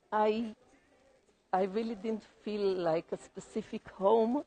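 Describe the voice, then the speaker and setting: A woman artist from Poland speaks hesitantly, pausing often with fillers as she explains her work. She is recorded indoors in a museum gallery. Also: mid-range voice, steady tone, mellow. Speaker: exhibiting artist.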